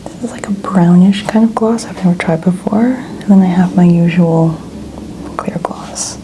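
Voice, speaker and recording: A woman in her twenties speaking in a soft, breathy near-whisper, chatting casually and gently as an ASMR host. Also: on the low side, normal speed, noisy background. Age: 20s. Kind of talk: soft-spoken ASMR, casual personal chat.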